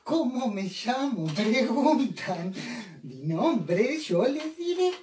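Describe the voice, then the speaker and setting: A man's voice: very high-pitched, big pitch swings, extremely slow, darkish tone, full character voice. A man playing a tiny, friendly traveler from another world in cheerful, sing-song comic storytelling. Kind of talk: comic clown storytelling performance. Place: small indoor room, three performers at table. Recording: echoey room, distant mic, faint noise.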